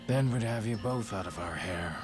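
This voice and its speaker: Guy doing a weary gangster boss, middle aged, lowish voice, blunt slangy talk, game scene w music behind. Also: animated, moderate pace, lightly acted. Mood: resigned, frank. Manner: matter-of-fact, gruff slangy plain talk. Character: frank, weary male yakuza gang boss, middle-aged. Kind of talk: video game cutscene acting.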